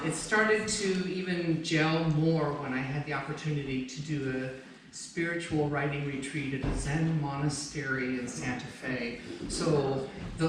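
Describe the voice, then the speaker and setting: A woman presenting her own poetry to an audience at a book launch. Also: low-pitched. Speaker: poet presenting own poem. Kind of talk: introducing and reciting a poem to an audience. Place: echoey room at a live book launch event. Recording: very echoey room, distant mic, faint noise.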